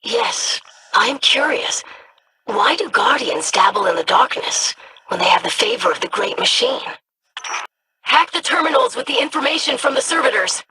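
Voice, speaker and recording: A woman's voice: on the high side, animated, moderate pace, noisy background, close to an everyday voice. A woman voicing a curious formal alien scholar. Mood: inquisitive. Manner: precise, formal diction.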